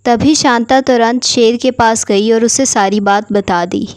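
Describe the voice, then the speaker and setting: A woman reads a children's story as its narrator. Her voice is on the high side, and the recording is dry, free of room sound. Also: steady tone, unhurried, darkish tone, no echo.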